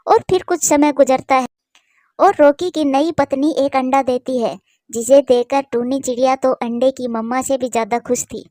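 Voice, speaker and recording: A woman's voice: sits high, steady tone, normal speed, mellow, no echo, clean studio sound. A woman reading scripted narration for a children's cartoon story.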